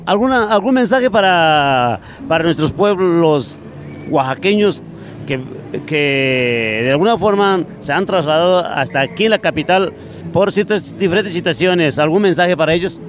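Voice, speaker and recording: A man with a Mexican accent interviewing protesters for a community radio station, pausing often with "este". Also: a little high, expressive, a little hiss or hum. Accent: Mexican Spanish. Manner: halting, with repeated "este" fillers. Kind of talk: street interview.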